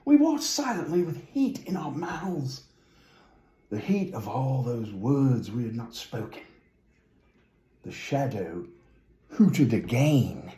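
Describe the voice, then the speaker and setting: A man's voice: mid-range voice, very animated, takes it slowly, long pauses, dark tone, roomy sound. A man reading a children's story aloud, with whimsical, musing asides to the listener. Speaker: storybook reader.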